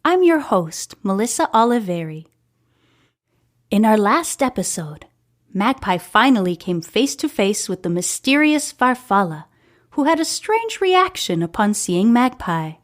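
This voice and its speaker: A woman's voice: mid-range voice, sing-song, unhurried. A woman hosting a story podcast, reading scripted narration from a serial novel.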